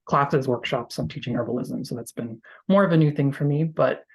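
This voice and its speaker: Male interview guest, astrologer, husky mid-pitched voice, earnest and explanatory, conversational delivery. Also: brisk.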